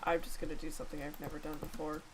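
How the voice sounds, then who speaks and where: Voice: medium pitch, flat delivery, background noise. A gamer chatting casually and rambling while playing, talking to themselves and to others on a call. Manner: rambling, chatty self-talk with quick asides to a call. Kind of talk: casual gameplay chat.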